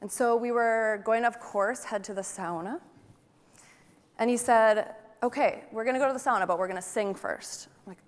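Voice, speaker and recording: A woman's voice: a little high, moderate pace. Chatty woman speaking in a large hall. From Wisconsin, United States. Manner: chatty, enthusiastic, asks the audience questions. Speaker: photographer giving a talk. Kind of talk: conference presentation to an audience. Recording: long hall reverb.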